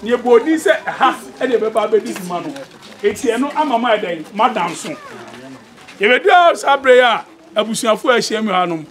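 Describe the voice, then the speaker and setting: A man's voice: extremely high pitch, big pitch swings, fairly quick, fairly bright tone. A Ghanaian man emceeing an outdoor celebration, calling out to the crowd and leading them in call-and-response. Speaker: event emcee. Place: outdoor yard with a large crowd.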